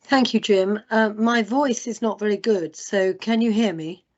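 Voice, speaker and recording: A woman's voice: medium pitch, unhurried. A woman who is losing her voice, making a thoughtful, long-winded suggestion in an online meeting. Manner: thoughtful, long winding sentences. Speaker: meeting attendee. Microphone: video call. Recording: muffled video call audio.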